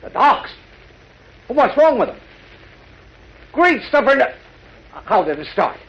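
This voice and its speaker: An American actor playing a blustering, excitable middle-aged city boss in 1940s radio drama style, with a husky voice. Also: male voice, very high-pitched, slow pace, full character voice. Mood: alarmed. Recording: muffled old-time radio recording.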